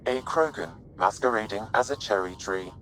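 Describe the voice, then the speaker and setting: A woman playing a calm, literal-minded artificial intelligence in a video game, measured and deadpan. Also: a bass voice, slight background noise, rough recording.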